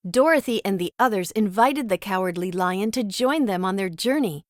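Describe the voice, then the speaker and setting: A woman narrating a read-along children's storybook with expressive, dramatic storytelling. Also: medium pitch, normal speed, dry recording, studio quality.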